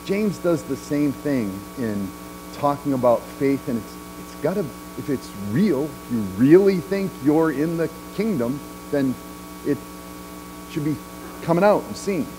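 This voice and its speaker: A man's voice: on the high side, expressive, measured pace, music in the background, poor audio. A middle-aged man, a pastor, preaching a sermon in a large church sanctuary, walking and gesturing as he talks in a conversational way, often asking "right?". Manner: walks the chancel gesturing while speaking.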